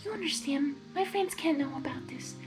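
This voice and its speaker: A woman voicing a gentle, timid young female pony in a soft, hesitant way, for a fan fiction reading. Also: high voice, normal speed, music in the background, voice pushed up, natural voice. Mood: wistful, anxious. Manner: hesitant, pleading.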